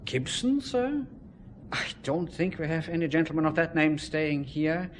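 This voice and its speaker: An actor playing a formal, polite hotel receptionist with a German accent in an audio drama. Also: male voice, a little high, a little slow, slight background noise, close to an everyday voice. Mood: formal, helpful.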